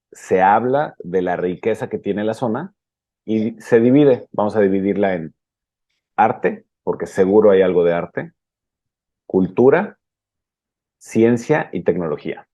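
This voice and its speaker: A man from Guadalajara with a Mexican Spanish accent, hosting a podcast and explaining ideas in a measured way. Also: fairly low pitch, no room sound, highs cut off. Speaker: podcast host. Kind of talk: interview questions.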